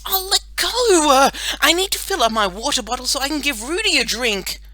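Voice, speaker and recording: A woman's voice: sits high, expressive, normal speed, sharp tone, no echo, pitched above the performer's own voice. A woman voicing an indignant little schoolgirl in a children's audiobook, snapping back in an insistent, exasperated manner.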